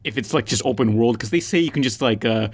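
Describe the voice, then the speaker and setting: A man's voice: mid-range voice, quick pace, background noise. Casual man hosting a podcast chat. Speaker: podcast host.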